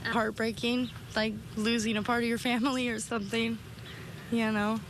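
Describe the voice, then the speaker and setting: Higher-voiced young American woman being interviewed. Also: flat delivery, moderate pace, fairly bright tone. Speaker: news interviewee. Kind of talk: informal personal interview. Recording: faint outdoor background noise.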